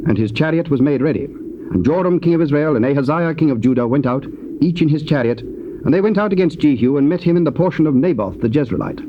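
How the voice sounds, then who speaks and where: A man's voice: a little high, lively intonation, fast, dull audio. A man with a British accent narrating dramatised scripture in a solemn storytelling style. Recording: noisy old cassette tape recording.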